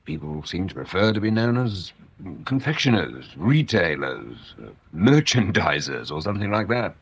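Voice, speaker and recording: Courteous English inspector for radio crime drama: very low register, lively intonation, understated scepticism. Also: male voice, slightly rough, heavily acted. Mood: dryly polite. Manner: polite, understated, deliberate. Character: dry, courteous, quietly sceptical police inspector.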